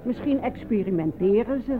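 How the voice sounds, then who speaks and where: Een actrice die in een hoorspel een brutale, spottende, grofgebekte stadse vrouw speelt, theatraal van toon. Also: female voice, a little high, expressive, normal speed, crowd noise, lightly acted. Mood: matter-of-fact. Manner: coarse, theatrical. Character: brash, mocking, foul-mouthed city woman. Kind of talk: radio play acting. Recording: noisy, phone-like old radio recording.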